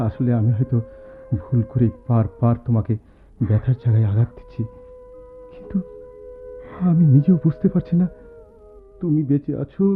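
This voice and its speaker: Actor as a distraught young man, very animated, tinny. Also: mid-range voice, moderate pace, pauses often, lightly acted. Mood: pleading, soothing. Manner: dramatic, pleading, stammering repetitions. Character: distraught, pleading young man, devoted to a suffering woman. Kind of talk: Bengali radio drama acting, theatrical. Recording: tinny, phone-like old radio drama recording, music.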